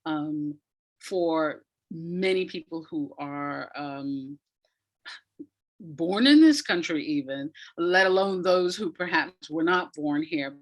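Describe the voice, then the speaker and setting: A woman with a low-set voice talks as a guest in an interview. She has the emphatic manner of an educator, restating phrases to drive them home, in a conversational flow. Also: takes it slowly.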